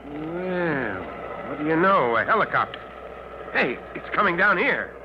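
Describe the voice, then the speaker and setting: An actor playing an elderly, blustery, excitable fire chief in a 1940s radio drama, given to exclamations. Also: male voice, on the high side, unhurried, background noise. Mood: excited. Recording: muffled old radio recording, music behind.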